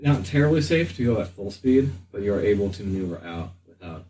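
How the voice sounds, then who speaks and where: A man improvising narration as the game master of a tabletop game, often pausing mid-sentence. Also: on the low side, expressive, dark tone. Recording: noisy room, distant mic.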